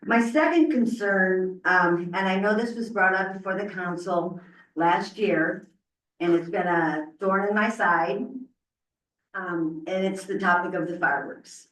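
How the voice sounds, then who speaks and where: A woman's voice: fairly low pitch, unhurried, a bit bright. A woman resident giving informal public comment in a meeting room, away from the microphone. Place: indoor council meeting room. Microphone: distant room mic, not at the stand. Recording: echoey room, far from the mic.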